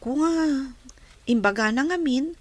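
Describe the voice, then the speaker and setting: A woman's voice: high-pitched, animated, unhurried, no echo. A woman playing an earnest, respectful young village student in an Ilocano radio drama. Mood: teasing, curious.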